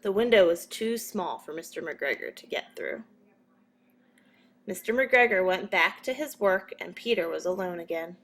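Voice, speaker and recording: A woman reading a children's story as a narrator, in a measured but expressive storytelling manner. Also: on the low side. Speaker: storybook reader.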